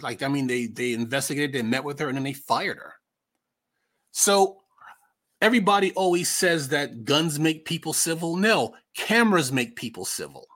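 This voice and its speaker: A man's voice: a little high, a bit bright. A man with an American accent giving casual, opinionated political commentary as a podcast host, conversational and emphatic, repeating himself for effect.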